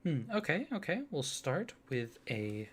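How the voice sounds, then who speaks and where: A man speaking softly and warmly in an ASMR roleplay as a friendly card designer, gently enthusiastic. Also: on the high side, very animated, unhurried, husky. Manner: soft-spoken, gently enthusiastic. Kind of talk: soft-spoken ASMR roleplay.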